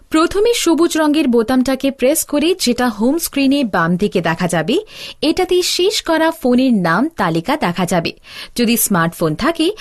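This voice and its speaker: A woman narrating a scripted tutorial as an instructor, explaining in a didactic, step-by-step way. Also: fairly high pitch.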